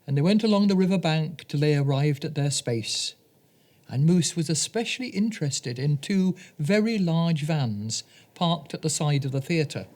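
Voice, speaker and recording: A woman narrating a scripted children's story as a storyteller, reading gently and deliberately. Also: low-pitched, expressive.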